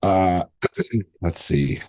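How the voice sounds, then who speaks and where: A man narrating as game master of a tabletop role-playing game, in a relaxed, hesitant way with fillers. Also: deep voice, drawn-out words.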